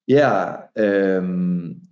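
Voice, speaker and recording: A man's voice: low voice, extremely slow, darkish tone, highs cut off. A man in his forties, a native Danish speaker, talking about his book in a hesitant, restarting way. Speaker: author and speaker. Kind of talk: casual conversation.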